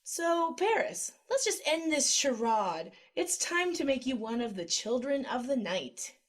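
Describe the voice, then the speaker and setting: A woman's voice: sits high, sing-song, measured pace. A woman voicing a playful, bossy young female friend in a comic-book cartoon. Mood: bossy, encouraging. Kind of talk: motion comic voice acting. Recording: music clearly behind the voice.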